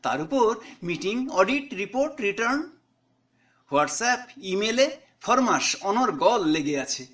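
A man's voice: high-pitched, sing-song, slow, a bit bright. A man reading his own short story in a conversational way, with dialogue.

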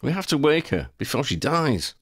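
A man's voice: on the high side, sing-song, moderate pace, a bit bright, husky, dry recording, studio quality, pitched above the performer's own voice, full character voice. A man voicing a practical, teasing young hero with an urging, matter-of-fact manner in an audiobook. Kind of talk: audiobook character voice.

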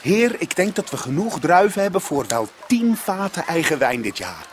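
An actor playing a deferential, cheerful slave of a Roman master in a radio play, eager and respectful. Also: male voice, high voice, sing-song, moderate pace, a little hiss or hum. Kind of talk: radio drama acting.

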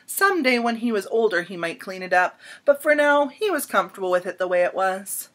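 A woman in her thirties reading a children's picture book aloud in an expressive, dramatic storytelling manner with emphatic pauses. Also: mid-range voice. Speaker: storybook reader. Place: small indoor room at home.

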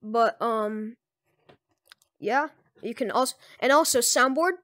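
A speaker giving a casual, off-the-cuff software tutorial in a loose, rambling manner as host. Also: mid-range voice, slow pace. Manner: rambling, loosely strung instructions.

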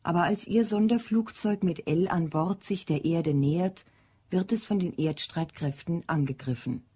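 A woman reads scripted dramatic narration. Her voice is lowish, dark and mellow, with a husky, breathy edge. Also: normal speed.

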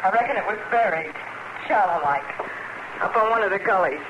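A woman's voice: mid-range voice, lively intonation, a little fast, highs cut off, lightly acted. Grim frontier widow played by a woman, noisy recording. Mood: grim, determined. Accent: American rural Western. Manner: plain-spoken, solemn. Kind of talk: 1950s radio drama acting. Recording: noisy 1950s radio recording.

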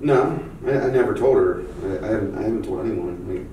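An insecure, doubting man with a rather low voice, stammering and swearing his way along, acted by a man at a table read. The sound is very echoey, picked up from far across the room. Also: a little fast, warm tone, slight background noise, natural voice. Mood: sheepish, regretful. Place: meeting room around a long table, indoors. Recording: very echoey room, distant mic.

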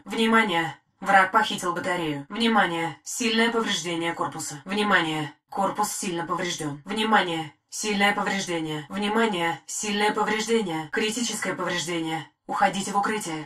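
Composed game war-machine AI played by a woman, female, medium pitch, crisp scripted battle reports. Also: a bit bright, natural voice. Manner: crisp, formal military reporting. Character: female combat robot titan AI, loyal, composed. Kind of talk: short scripted combat callouts.

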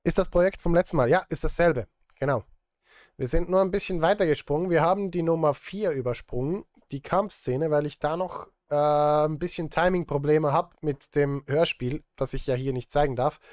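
Playful man streaming, slightly high dry voice. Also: normal speed, darkish tone, no echo. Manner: playful, talking to chat and himself. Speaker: music streamer and composer. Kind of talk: casual livestream chat while working. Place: small indoor room, livestream setup.

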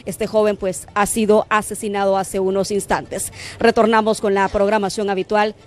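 A woman's voice: medium pitch, moderate pace. Somber Honduran woman reporting live. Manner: somber, steady, with frequent fillers. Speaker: field reporter. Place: outdoors on a street by a barbershop. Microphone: handheld microphone.

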